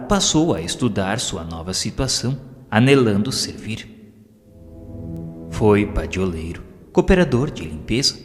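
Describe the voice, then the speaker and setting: A man with a Brazilian accent narrates a scripted audio novel in a solemn, formal literary manner. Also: fairly low pitch. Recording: long reverb over music. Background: music.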